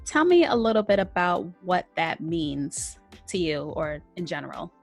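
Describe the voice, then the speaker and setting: Brief: woman host, lower voice, casual interviewer who circles around her questions. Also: very animated, moderate pace, soft background music. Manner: searching questions with restarts and fillers. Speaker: podcast host.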